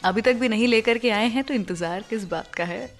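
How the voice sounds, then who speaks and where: A woman's voice: a little high, fairly quick. Woman radio host over background music. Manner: conversational storytelling, mixing in English phrases. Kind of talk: scripted radio music show presentation. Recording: music under the voice.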